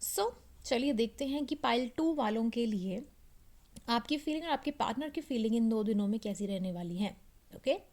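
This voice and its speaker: A woman's voice: on the high side, expressive, moderate pace, a little mellow. A woman with an Indian accent and a slightly sore throat, giving a casual card reading to her viewers and mixing in English phrases. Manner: mixes Hindi with English phrases. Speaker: tarot reader and channel host.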